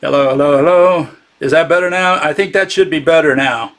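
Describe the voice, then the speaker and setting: A man's voice: fairly high pitch, brisk, a bit bright. Sarcastic man hosting a live stream. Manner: sarcastic, chats with viewers. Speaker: channel host giving a critique. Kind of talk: casual live-streamed lecture.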